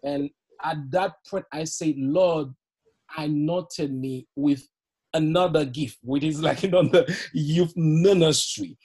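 A man's voice: on the high side, slow, a little mellow, slightly rough. A middle-aged man from Haiti with a Haitian accent, a youth pastor guest on a podcast, telling his story earnestly with frequent laughs and repeated words. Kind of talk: podcast interview, personal story.

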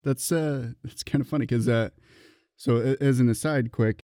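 A man's voice: medium pitch, normal speed, mellow, no room sound, clean studio sound. A man hosting a casual interview, asking questions in a conversational way. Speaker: podcast interviewer, host.

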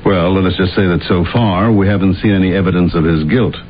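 Actor as low-voiced, courteous radio police detective. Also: male voice, natural voice. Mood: skeptical, firm. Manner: measured, formal, politely dismissive. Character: calm, courteous, skeptical male police detective. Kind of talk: old-time radio drama acting. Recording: dull, noisy old radio recording.

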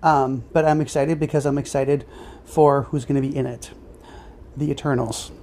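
A woman's voice: extremely low pitch, fairly even intonation, normal speed, warm tone. A woman co-hosting a podcast in a casual, chatty style. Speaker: podcast co-host. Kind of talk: casual chat about superhero movies.

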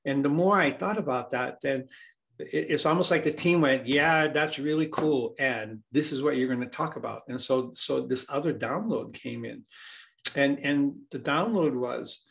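A man's voice: medium pitch, normal speed, vocal fry, a bit bright. A man from Hawaii, a healer speaking as an interview guest, talking earnestly and reflectively, often repeating words as he searches for them.

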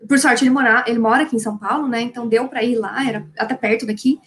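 A woman's voice: fairly high pitch, steady tone, racing, fairly bright tone. A woman, a science communicator telling a story in a casual interview, gushing and full of fillers.

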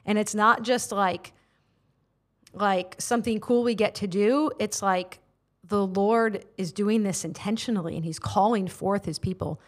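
A woman's voice: mid-range voice, unhurried. An American woman in her forties, a guest on a podcast, telling her faith story earnestly with many filler words. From United States. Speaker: guest, prayer ministry leader. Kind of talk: personal testimony in interview. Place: studio.

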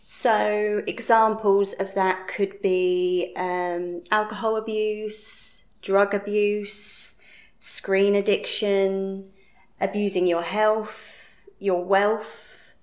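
A woman from England with an English accent, a guest coach explaining slowly and listing examples with fillers. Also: mid-range voice, flat delivery, a little mellow, roomy sound. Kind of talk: explaining in casual podcast chat.

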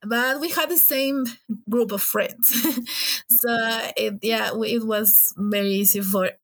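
A woman's voice: a little high, slow pace. A young woman from Ecuador with an Ecuadorian Spanish accent, a native Spanish speaker, chatting casually and gigglily as a podcast guest. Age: young adult. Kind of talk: casual chat.

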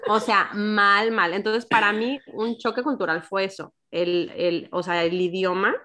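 A woman's voice: mid-range voice, measured pace. A woman from Guadalajara, telling stories in a casual chat and acting out what people said. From Guadalajara, Mexico. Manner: animated storyteller, acts out quotes.

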